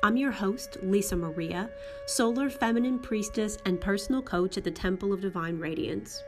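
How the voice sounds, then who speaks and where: A woman's voice: mid-range voice, moderate pace, over music. A woman hosting a solo spiritual podcast, sounding nasal from a head cold and speaking in an earnest, reflective way with frequent fillers. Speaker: podcast host and spiritual coach.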